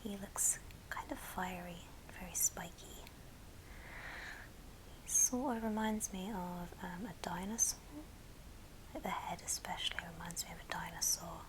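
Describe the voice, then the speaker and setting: A woman whispering breathily and gently in an ASMR show and tell, leaving pauses between short phrases. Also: fairly low pitch, fairly even intonation, background noise. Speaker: ASMR video host.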